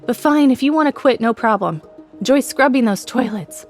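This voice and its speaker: A woman voicing an annoyed, bossy young female student in an audio drama, brisk and sarcastic but helpful. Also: on the high side, expressive, faint music behind, pitched above the performer's own voice, close to an everyday voice. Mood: scolding.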